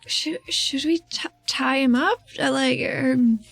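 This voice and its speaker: A woman's voice: high voice, takes it slowly, vocal fry, fairly bright tone, no room sound, pitched above the performer's own voice, heavily acted. A woman plays a curious, cautious heroine in a tabletop roleplaying game. She is informal, awkward and friendly. The recording is dry, with faint music underneath. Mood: nervous whispering.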